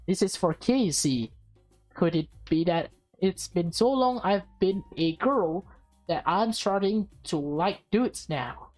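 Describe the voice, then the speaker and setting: A woman's voice: on the low side, lively intonation, slow, a little mellow, soft background music. A woman reading a visual novel aloud with casual asides, often stumbling and rereading phrases. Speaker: gaming video host, reader.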